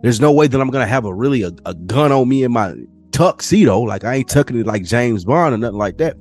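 A man's voice: fast, soft background music. A young man co-hosting a podcast, telling stories casually and animatedly. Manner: animated storyteller, mimics people in higher voices. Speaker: podcast co-host. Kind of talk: casual chat.

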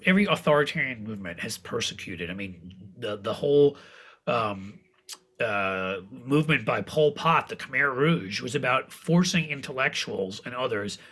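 A man with a raspy voice, talking politics as a regular guest in a blunt, emphatic, sarcastic way, with the odd swear word. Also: medium pitch, expressive, normal speed, a bit bright. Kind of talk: casual political talk.

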